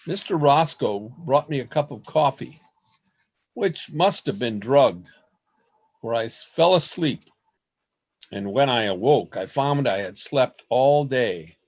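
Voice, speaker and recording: Husky, anxious man acting a trapped diarist. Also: medium pitch, darkish tone. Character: earnest, anxious man trapped among secret store dwellers. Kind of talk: radio play acting with diary narration.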